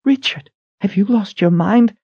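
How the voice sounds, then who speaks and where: A man's voice: sits high, unhurried, slightly rough, dry recording, muffled recording, pitched above the performer's own voice, heavily acted. A man voicing an anxious woman in a fantasy audiobook, urgent and protesting. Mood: worried protest. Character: anxious, pleading woman companion in a fantasy tale. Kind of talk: dramatic audiobook character acting.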